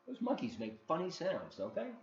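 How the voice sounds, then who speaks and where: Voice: mid-range voice, wildly swooping pitch, moderate pace. A children's story reader reading a picture book aloud expressively, with dramatic emphasis and asides to young listeners. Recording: roomy, dull lo-fi, faint noise.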